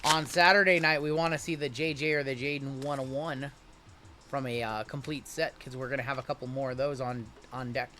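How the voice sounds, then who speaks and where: A man's voice: mid-range voice, fairly even intonation, brisk, pushed and bright, slight background noise. A man in his late thirties hosting a live card break, chatting casually with viewers while rattling off player names from each pack. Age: 30s.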